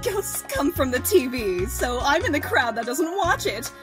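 A woman's voice: high voice, very animated, unhurried, over music. A woman voicing a boastful, haughty young devil woman in a comic dub, brash and foul-mouthed.

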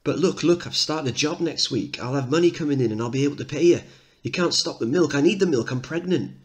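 A man's voice: a little high, quick pace, voice pushed up, close to an everyday voice. A man voicing a pleading, harried working-class mother in a dramatic play reading. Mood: pleading, desperate. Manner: insistent, pleading.

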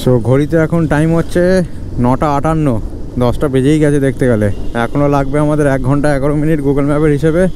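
A man's voice: fairly high pitch, steady tone, fast talker, warm tone. A man giving casual commentary while riding a motorbike, chatting away and scolding careless road users aloud. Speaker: motovlogger. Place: outdoors on a moving motorbike. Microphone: helmet-mounted camera mic. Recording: wind and traffic noise on a helmet mic.

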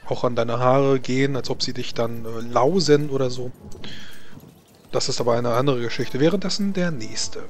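A man's voice: mid-range voice, moderate pace. A man narrating as game master of a tabletop roleplaying game, informal and improvising as he goes. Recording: background noise.

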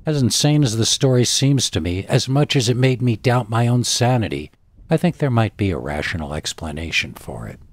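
A man reading scripted scary stories as a host, in a theatrical, deliberate storytelling manner. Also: medium pitch, expressive. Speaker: horror story narrator and channel host.

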